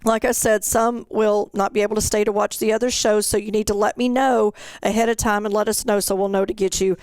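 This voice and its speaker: A woman's voice: mid-range voice, little pitch movement, a little fast, a little mellow, smooth, dry recording. A woman giving an informal, plain-spoken and instructive presentation as an event organizer.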